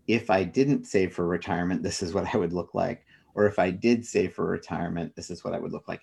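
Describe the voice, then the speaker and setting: A middle-aged man with a low-pitched voice answers as an interview guest. He talks conversationally and reflectively, working through his ideas with personal examples. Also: a little mellow.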